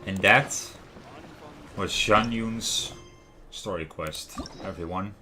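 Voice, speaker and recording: A man livestreaming a video game, talking casually and haltingly and reading game text aloud. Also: low voice. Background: soft background music.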